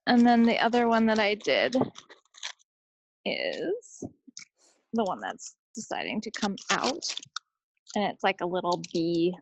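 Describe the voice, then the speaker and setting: A woman's voice: medium pitch, big pitch swings, takes it slowly, creaky, long pauses, slight background noise, poor audio. A woman co-hosting a podcast in casual, chatty conversation. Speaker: podcast co-host. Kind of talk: casual chat about crafts and home life.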